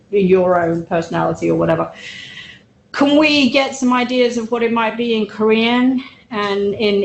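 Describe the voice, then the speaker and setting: A woman's voice: mid-range voice, slight background noise, rough recording. Chatty woman lecturing a class. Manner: conversational, chatty, explains with many fillers. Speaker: university lecturer.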